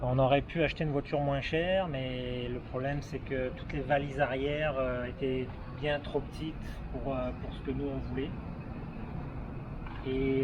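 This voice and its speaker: A man's voice: medium pitch, dull audio. A man in his thirties with a Québécois French accent, casually showing off a new car and explaining its features, hesitating often with fillers and restarts. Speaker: travel vlogger. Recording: noisy outdoor ambience with vehicle sounds.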